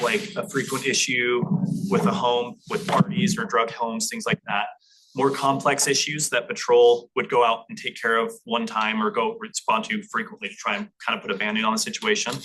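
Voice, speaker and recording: Bright, slightly husky male police officer. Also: mid-range voice, low-quality recording. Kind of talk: informal public briefing. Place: public meeting room.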